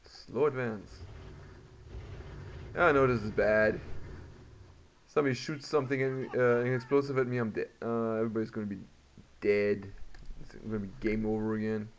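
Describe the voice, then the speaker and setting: A man's voice: lowish voice, steady tone, normal speed, long pauses. A man giving casual gameplay commentary, reacting aloud and muttering to himself as he plays. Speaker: gamer. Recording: muffled lo-fi mic, background noise.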